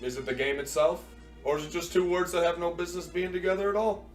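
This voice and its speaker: A man's voice: sits high, fairly even intonation, fast, faint music behind. A man in a scripted comedy sketch, asking questions in an incredulous manner. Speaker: comic interlocutor.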